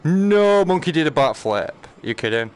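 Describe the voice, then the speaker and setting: A man's voice: fairly high pitch, big pitch swings, moderate pace. A man giving casual live game commentary, slangy and sweary, muttering to himself and breaking into exclamations. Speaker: game streamer.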